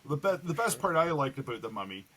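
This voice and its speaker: A man speaking casually as a guest author in a podcast conversation, with a husky voice. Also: fairly high pitch, very animated, fast, a bit bright, a little hiss or hum.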